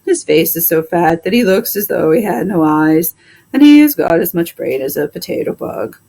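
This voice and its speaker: A woman voicing a plain-spoken, indignant male plow horse with drawn-out, emphatic complaints in a storybook. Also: fairly low pitch, lively intonation, creaky, mellow. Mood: scornful.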